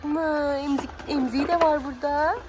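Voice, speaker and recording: A woman's voice: high-pitched, fairly even intonation, moderate pace. A woman talking as a doting mother to her small child, in casual child-directed chat full of prompting questions. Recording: clearly noisy background.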